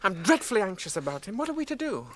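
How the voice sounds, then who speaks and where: An actor playing an anxious, fretful young hobbit traveller in a radio drama, earnest. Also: male voice, sits high, very animated, quick pace, fairly bright tone, slight background noise. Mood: anxious, despairing.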